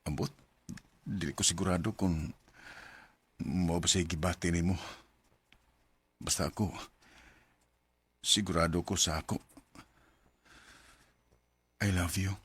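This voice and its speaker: A man's voice: deep voice, steady tone, slow pace, long pauses, fairly bright tone, slightly rough, heavily acted. An actor with a Cebuano accent playing a smitten, devoted young man in a radio drama. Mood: nervous confession.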